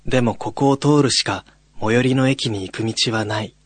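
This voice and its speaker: A man's voice: mid-range voice, normal speed, no echo, natural voice. A man playing a young male college student who tells a ghost story in a radio drama. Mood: somber, reflective. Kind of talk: radio drama narration with acted dialogue.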